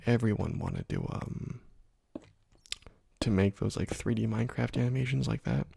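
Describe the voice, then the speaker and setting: A young man hosting an ASMR gaming video, speaking softly into a close microphone and rambling through stories with many fillers. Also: on the low side, normal speed, creaky, a little hiss or hum. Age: young adult. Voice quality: whispery and soft. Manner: rambling, laid-back storytelling with many fillers. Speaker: gaming ASMR channel host. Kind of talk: soft-spoken ASMR gameplay commentary.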